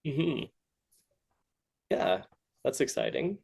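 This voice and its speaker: A man hosting a casual interview, asking questions in a halting way and often rephrasing them midway. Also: medium pitch, big pitch swings, creaky, a little mellow, husky. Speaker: podcast host, interviewer.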